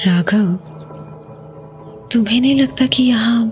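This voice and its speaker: A woman playing an uneasy, suspicious young wife in a horror audio drama, hesitant and hushed, lingering on words in a breathy voice. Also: a little high, slow pace, soft background music. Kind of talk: Hindi horror audio drama, acted dialogue.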